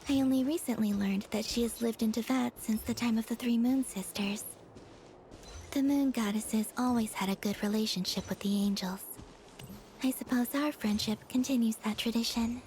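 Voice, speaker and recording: A young moon goddess from a fantasy game, acted by a woman, gentle and wistful. Her voice is fairly high and soft, with formal, measured phrasing. Light noise sits behind her. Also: fairly even intonation, lightly acted. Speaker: video game character. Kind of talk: scripted game voice acting.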